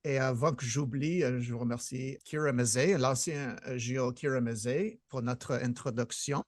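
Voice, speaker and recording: A man hosting a casual interview podcast, speaking in a hesitant, halting way. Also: mid-range voice, steady tone, no echo, studio quality. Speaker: podcast host.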